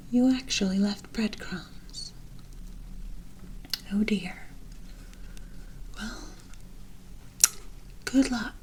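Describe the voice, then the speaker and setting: A woman voicing a mysterious, wry forest witch in a hushed, deliberate ASMR fantasy roleplay, with cryptic storybook phrasing. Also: medium pitch, a little hiss or hum, natural voice. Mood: wry, teasing. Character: mysterious forest witch, wry, knowing, offering protection for favors.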